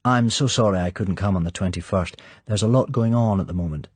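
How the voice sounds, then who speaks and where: A man playing a polite, chummy English gentleman spy in an audiobook, affable and casual. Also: fairly low pitch, brisk, breathy edge, no echo.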